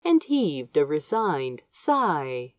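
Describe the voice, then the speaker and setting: A woman's voice: sits low, sing-song, extremely slow, no room sound, clean studio sound. A woman reading fiction aloud as an amateur audiobook narrator, with tender, dramatic storytelling. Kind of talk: expressive fan fiction narration.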